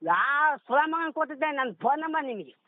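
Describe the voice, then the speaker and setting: A man's voice: very high voice, sing-song, fast. An irritated man with a North Karnataka Kannada accent, arguing bluntly and protesting over the telephone. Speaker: prank call recipient. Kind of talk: heated phone argument. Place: on a phone call. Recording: tinny phone line with noise.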